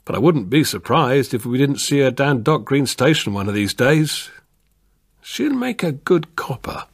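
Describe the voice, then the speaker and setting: An actor playing a kindly veteran police constable in a radio drama, calm and plain-spoken with a husky voice. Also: male voice, mid-range voice, normal speed. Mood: reflective. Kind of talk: British radio drama acting and narration.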